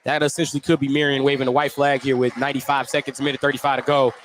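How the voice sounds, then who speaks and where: A man's voice: medium pitch, flat delivery, very fast, a bit bright. A man calling live basketball play-by-play for radio, describing the action in running detail. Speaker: play-by-play sports commentator. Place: courtside broadcast spot in a school gym. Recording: live gym broadcast with background noise.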